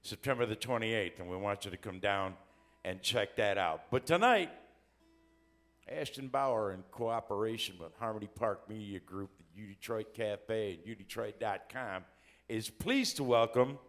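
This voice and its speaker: A man's voice: lowish voice, expressive, unhurried. A man hosting a live talk show, welcoming guests with upbeat, joking announcer patter. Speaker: host.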